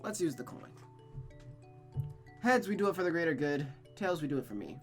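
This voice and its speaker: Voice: medium pitch, big pitch swings, moderate pace, faint music behind. A host reading a visual novel aloud in dramatic narration, stumbling and rereading phrases, with casual asides.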